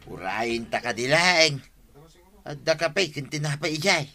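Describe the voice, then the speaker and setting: A man acting an easygoing, excitable village husband in an Ilocano radio comedy drama, speaking with an Ilocano Filipino accent. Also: fairly high pitch, unhurried, full character voice.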